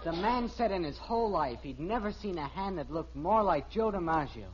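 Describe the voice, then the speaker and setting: An actor playing an eager, naive American teenage son in a 1950s radio sitcom, pestering and earnest. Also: male voice, high voice, animated, moderate pace, a little hiss or hum. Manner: whiny, pestering, gee-whiz earnestness. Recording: dull old radio recording.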